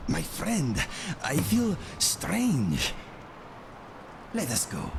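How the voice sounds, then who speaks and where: Man acting slow, lilting, formal game companion. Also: fairly high pitch, wildly swooping pitch, creaky, background noise, exaggerated voice. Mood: distressed, dazed. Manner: formal speech without contractions, measured. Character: loyal male cat-man companion, formal, troubled, gentle. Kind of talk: video game character acting.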